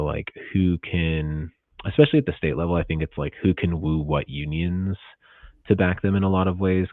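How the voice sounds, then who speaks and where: Man, American accent, bass-low pitch, casual political chat, thinks aloud, muffled lo-fi sound. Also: dry recording. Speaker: podcast co-host.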